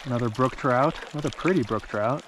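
A man's voice: medium pitch, a little fast, noisy background. A man in his thirties narrating his fishing trip outdoors, exclaiming whenever he hooks a fish. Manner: excitable, exclaims at each catch. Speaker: fishing vlogger. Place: small creek in an open mountain valley outdoors. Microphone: head-mounted action camera.

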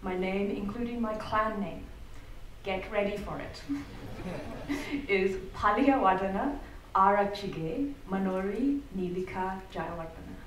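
A woman's voice: medium pitch, slight background noise. Woman speaking from far off in a roomy hall. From Zambia. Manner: measured and articulate, with wry asides. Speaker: speaker giving a talk. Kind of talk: prepared public talk. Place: theatre hall. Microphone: venue sound picked up from the back of the hall.

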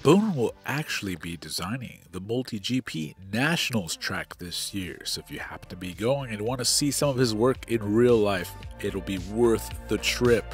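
A man's voice: lowish voice, lively intonation, moderate pace, few pauses, soft background music. A man giving a casual voice-over commentary as the host of a hobby channel. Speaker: drone pilot and channel host.

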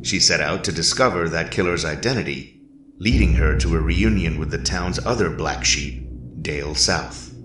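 A man's voice: a bass voice, moderate pace. A man reading dramatic scripted narration as the narrator of a drama recap. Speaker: narrator giving a series recap. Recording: roomy sound, clear background noise.

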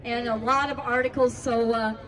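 A woman presenting a car at an outdoor estate sale auction, speaking haltingly with many fillers. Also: on the high side, steady tone, fairly bright tone. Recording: distant voice with crowd noise.

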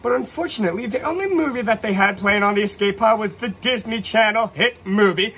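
A man's voice: high-pitched, fairly quick, fairly bright tone, breathy edge. A man playing an earnest oddball who tells of alien encounters in a mock-serious, theatrical comedy monologue. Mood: dramatic storytelling.

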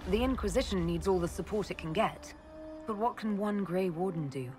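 A woman's voice: mid-range voice, normal speed, music in the background, close to an everyday voice. A woman playing a young elf mage in a video game, composed, direct and probing. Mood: blunt. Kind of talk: video game cutscene acting.

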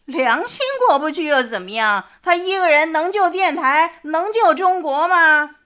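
A woman's voice: high voice, expressive, measured pace, sharp tone, exaggerated voice. An actress playing a blunt, exasperated middle-aged mother in a radio drama, scolding in colloquial rhetorical questions. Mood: scornful.

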